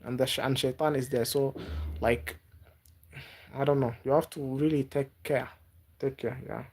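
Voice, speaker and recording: A man from Africa, not a native English speaker, chatting live with viewers and giving gentle advice, halting and repeating words. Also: mid-range voice, fairly even intonation, slightly rough. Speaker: livestreamer. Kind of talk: casual live-stream chat with viewers.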